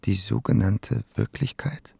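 A man's voice: fairly low pitch, unhurried, vocal fry, a little mellow, husky, full character voice. An actor in a radio play playing a brooding, searching man with a pensive, questioning delivery.

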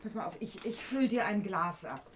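A woman's voice: mid-range voice, animated, moderate pace, slight background noise, close to an everyday voice. A woman playing a practical, protective middle-aged mother in a radio drama, matter-of-fact and soothing. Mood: brisk, soothing.

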